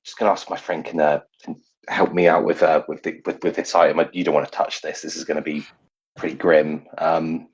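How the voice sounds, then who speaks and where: Evasive knight in training for a tabletop game, male player, husky, wheedling, pompous and halting, slightly lo-fi. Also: a bass voice, flat delivery, pitched below the performer's own voice, full character voice. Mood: hushed, scheming. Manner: stammering, indignant, over-formal. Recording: dull, slightly lo-fi recording.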